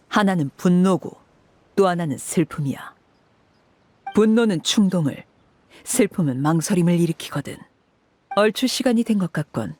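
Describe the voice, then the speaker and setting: A woman voicing a stern, cold woman who runs an orphan house as its "Father", speaking in a cool, measured, commanding way. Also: mid-range voice, a little mellow, lightly acted. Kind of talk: game voice acting.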